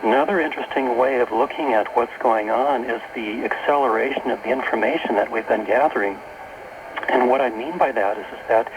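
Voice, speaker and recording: An American man talks as a guest on a radio interview show. His mid-pitched voice explains things in a halting, unscripted way, circling back to rephrase. Clear hiss and noise from a taped shortwave broadcast surround him. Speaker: talk show guest, author and workshop leader.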